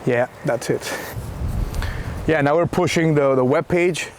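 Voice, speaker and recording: A man with a Venezuelan accent chatting on an outdoor walk, halting and searching for words, laughing often. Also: fairly high pitch, slight background noise. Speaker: guest, co-worker. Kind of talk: casual walking chat.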